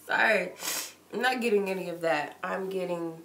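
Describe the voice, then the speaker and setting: A woman's voice: lowish voice, moderate pace, a bit bright. A woman with a cold and a stuffy nose, giving a casual live-streamed tarot reading in a chatty, loose way full of likes and ums. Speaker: tarot reader. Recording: echoey room with noise.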